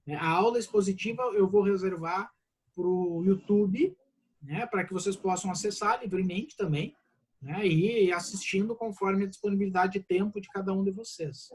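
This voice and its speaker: A man's voice: high voice, moderate pace, breathy edge. A Brazilian man, a law professor on a video call, talking casually and reassuringly to his students, peppering his speech with "né?". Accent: Brazilian Portuguese. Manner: casual, warm reassurance with frequent "né?". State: slightly congested. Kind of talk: online class Q&A.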